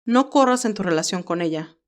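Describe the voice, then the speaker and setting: A woman's voice: mid-range voice, expressive, brisk, close to an everyday voice. A woman voicing a stern, protective older male advisor in an audio drama, speaking in an earnest, admonishing way.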